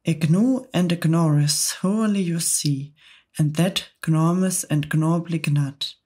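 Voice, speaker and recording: A woman's voice: deep voice, takes it slowly, warm tone, husky, heavily acted. Woman reading as a gleeful rhyming centipede. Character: excitable boastful male centipede.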